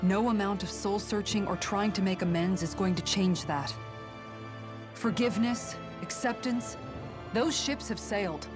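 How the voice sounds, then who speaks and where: A woman's voice: medium pitch, a little slow, music in the background, close to an everyday voice. An actress playing a firm, blunt yet compassionate young warrior woman, earnest and direct, in a dramatic video game.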